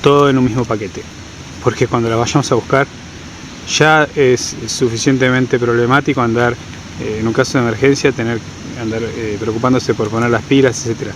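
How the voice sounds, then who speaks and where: A man's voice: mid-range voice, brisk. A man giving an informal, didactic tutorial, often checking understanding with short questions. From Argentina. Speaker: outdoor guide giving a tutorial. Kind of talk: informal instructional explanation. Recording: clear steady ambient background noise.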